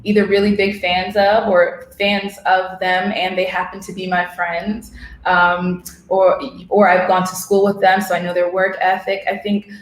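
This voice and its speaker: A woman's voice: medium pitch, flat delivery, a little slow, a little hiss or hum. A woman speaking reflectively as a co-host, searching thoughtfully for her phrasing, recorded in an echoey room. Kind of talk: reflective conversation.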